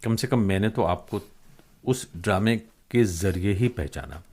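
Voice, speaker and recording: A man's voice: fairly low pitch, animated, a little slow, darkish tone. An older man hosting a conversational interview, reminiscing at length in literate, discursive phrasing. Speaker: podcast host and interviewer.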